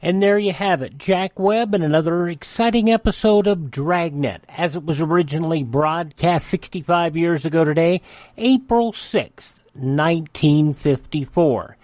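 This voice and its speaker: A man's voice: on the high side, unhurried, darkish tone, no echo. A man hosting a radio show in a conversational way. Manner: hesitant, stumbling when unscripted.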